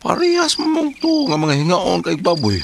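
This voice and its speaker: Man acting as a high-pitched, anxious Cebuano husband. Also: wildly swooping pitch, moderate pace, slight background noise, heavily acted. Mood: worried, complaining. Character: anxious, stubborn husband in a deadly wager. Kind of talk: comedy drama acting.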